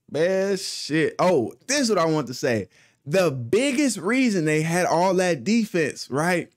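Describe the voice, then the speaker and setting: A man's voice: medium pitch, no room sound. A young man hosting his own channel, telling a story in a loose, rambling, profane way into a studio mic at home. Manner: laid-back, profane, rambling with restarts. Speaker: channel host. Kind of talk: casual storytime. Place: small home studio with foam panels. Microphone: studio mic with pop filter on arm.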